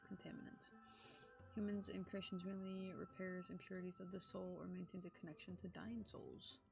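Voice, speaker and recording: A woman's voice: medium pitch, gravelly, darkish tone, low-quality recording. A young woman live streaming a playthrough, reading game text aloud and reacting in a casual, sweary way.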